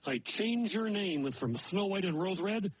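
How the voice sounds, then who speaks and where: A man voicing a rude, petulant little bearded dwarf who yells insults and threats. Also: sits high, animated, measured pace, a little hiss or hum. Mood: angry.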